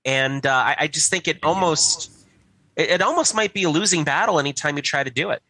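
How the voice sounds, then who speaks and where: A man's voice: fairly high pitch, a little fast, sharp tone. A man co-hosting a podcast, explaining his view conversationally and often checking in with the listener. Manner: explanatory, checks in with "right" and "you know". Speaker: podcast co-host. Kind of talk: casual explanatory discussion.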